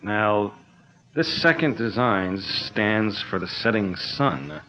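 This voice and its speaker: A polite, nervous engineer. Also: male voice, on the low side, takes it slowly, bright, forceful tone, full character voice. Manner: polite, eager, slightly nervous. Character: genteel, nervous male engineer and amateur archaeologist. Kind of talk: 1940s radio acting. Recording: dull lo-fi old-radio sound.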